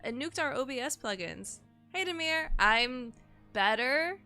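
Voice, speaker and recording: Woman streamer, under the weather and nasal, rambling and cursing casually, bright voice with some vocal fry. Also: sits high, lively intonation, unhurried, soft background music. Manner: rambling, swears casually, self-deprecating. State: sick, nasal and sniffly. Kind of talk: casual live-stream chat.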